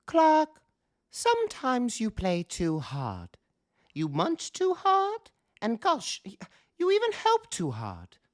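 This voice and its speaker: A man voicing fussy, scolding cartoonish fish at school in a children's storybook, with exaggerated, drawn-out exclamations. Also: very high voice, wildly swooping pitch, dry recording, studio quality, voice pushed up, full character voice. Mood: admonishing. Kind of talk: children's storybook read aloud.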